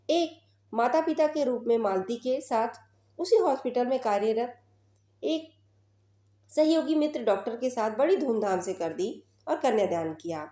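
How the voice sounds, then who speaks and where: Female storyteller for scripted narration, soft dark tone, slightly high pitch, roomy reverberant sound. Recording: roomy, echoing voice recording.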